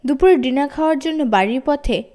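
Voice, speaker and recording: A woman narrating a children's story as an expressive audiobook reader. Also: sits high, a little fast.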